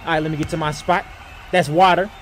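A man's voice: fairly high pitch, fairly even intonation, quick pace, very noisy. A man doing profane, slangy, exaggerated comedy voiceovers of athletes and referees. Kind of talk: comedic voiceover dubbing over sports clips.

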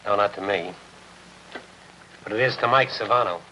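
An American actor playing a world-weary newspaper reporter in a 1950s crime drama, speaking in terse, clipped phrases. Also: male voice, on the low side, normal speed, noisy background, lightly acted. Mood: insistent. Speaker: lead actor. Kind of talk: 1950s crime drama acting, hard-boiled narration. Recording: 1950s TV film soundtrack, loud music.

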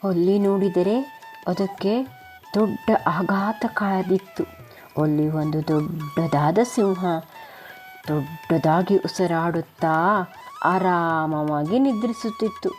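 A woman storyteller narrates a moral tale for children. Her delivery is very unhurried and her tone is dark and mellow. Music plays clearly behind her. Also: on the low side.

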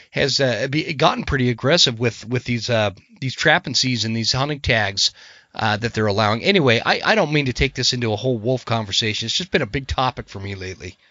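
A man in his forties with an American accent, hosting a podcast, hoarse from a cold and talking passionately with frequent restarts. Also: mid-range voice, bright, forceful tone, no echo, highs cut off. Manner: passionate, gets worked up, many restarts. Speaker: podcast host. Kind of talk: casual podcast conversation.

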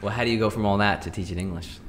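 A man's voice: on the low side, rapid-fire, slight background noise. An American man hosting a podcast, reading an upbeat intro and asking interview questions. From United States. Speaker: podcast host.

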